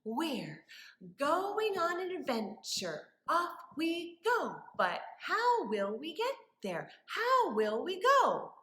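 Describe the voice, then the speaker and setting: A woman leading story time for young children as a librarian, with animated wonder and a sing-song, encouraging way of talking. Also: very high-pitched, takes it slowly. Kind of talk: children's story time with rhymes, questions and songs. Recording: echoey room.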